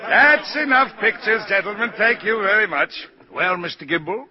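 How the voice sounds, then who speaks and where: Blustery, animated store boss. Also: male voice, high-pitched, moderate pace. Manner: emphatic, businesslike, echoing words in surprise. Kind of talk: 1940s radio acting. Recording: muffled 1940s radio broadcast.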